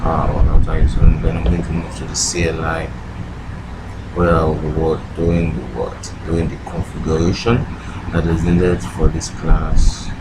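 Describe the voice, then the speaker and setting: A man's voice: rumbling low, completely flat delivery, measured pace, very noisy. A man giving an informal step-by-step tech tutorial as an instructor, often posing short questions to himself and answering them. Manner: asks and answers own questions with repeated "what".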